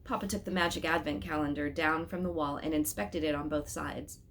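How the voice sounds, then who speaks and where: A woman reading a children's story aloud as an expressive storyteller, with dramatic, emphatic phrasing. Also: sits low, a little fast, a little hiss or hum.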